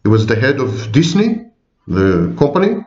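Wry older man lecturing, lively pitch. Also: normal speed. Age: 60s. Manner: wry, digressive, repeats and corrects himself. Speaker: psychology professor. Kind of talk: informal lecture to viewers. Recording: echoey room, dull lo-fi sound.

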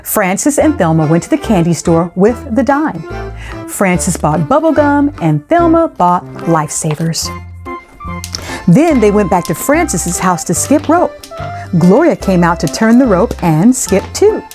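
A middle-aged woman hosting a read-aloud for children, speaking expressively and deliberately into a lapel mic indoors. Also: mid-range voice, sing-song, over music. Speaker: children's storytime host.

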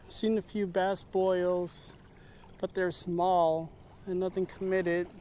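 A fishing vlogger talks at an unhurried pace in a relaxed, mellow voice. The remarks are short, casual and aimed at viewers. The action-camera recording is made outdoors by the water, with noticeable ambient noise. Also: mid-range voice. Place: outdoors on an open lake shore. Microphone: chest or head-mounted action camera.

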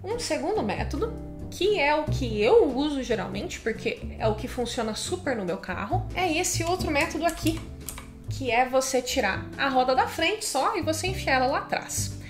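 A Brazilian woman in her thirties speaks in a high, bright register, explaining step by step in a chatty, friendly way and checking in with the listener often. She is seated at her home-office desk. Clear music plays underneath her voice. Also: sing-song, normal speed. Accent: Brazilian Portuguese. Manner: chatty, explanatory, frequent "né?" and "tá?" tags. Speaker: channel host. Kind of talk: casual explainer to camera.

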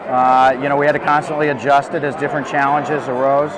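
A man's voice: mid-range voice, flat delivery, quick pace, over a noisy crowd, heavy background noise. A man giving an on-the-spot radio interview as a campaign spokesman, into a handheld microphone in a hall. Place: busy indoor hall at an election-night gathering. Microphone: handheld reporter's microphone. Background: loud music.

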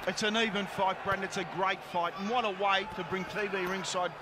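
Blunt Australian ringside co-commentator. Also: male voice, sits high, steady tone, brisk. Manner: blunt, jokey, short punchy remarks. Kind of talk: live boxing colour commentary. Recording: crowd noise behind.

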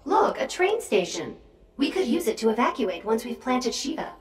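High, echoey drone companion. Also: female voice, big pitch swings, moderate pace. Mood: inquiring. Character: wary, quick-witted female robot drone companion. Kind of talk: video game dialogue. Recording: roomy echo, distant from mic. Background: game music.

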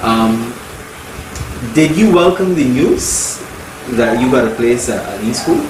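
A man's voice: medium pitch, lively intonation, slow pace. A man interviewing a guest for a documentary, prompting her and repeating her answers back. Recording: distant roomy mic with noise.

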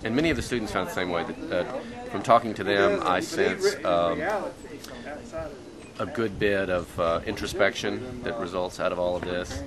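Man, 50s, deep voice, halting off-the-cuff testimonial outdoors, heavy crowd noise behind. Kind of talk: unscripted testimonial. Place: outdoors on a wooden deck near trees. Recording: loud chatter behind, outdoor camcorder.

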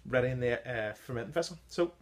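A man, reviewing his own home-brewed stout in a casual vlog and pausing often on ums as he gives tasting notes. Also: medium pitch, expressive. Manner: chatty, halting with many ums, tasting notes. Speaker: home-brew beer reviewer. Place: small indoor room with brewing keg. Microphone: device camera at close range.

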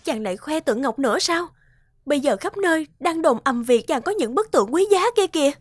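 A woman playing an anxious, devoted young wife in a folktale cartoon, with a gentle, pleading manner. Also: high-pitched, animated, brisk, dry recording, studio quality. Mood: worried, pleading.

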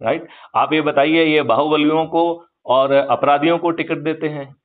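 An older man speaks on a recorded phone call with a narrow, tinny sound. His voice sits a little high for a man. He argues emphatically, like a lecturer, putting rhetorical questions to draw agreement. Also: steady tone, normal speed. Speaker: activist, retired police officer. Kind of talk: persuasive political talk on a phone call.

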